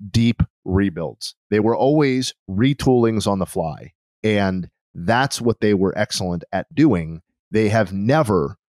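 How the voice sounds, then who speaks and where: Deep-voiced man debating sports on podcast. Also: a little mellow, dry recording. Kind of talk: casual sports discussion.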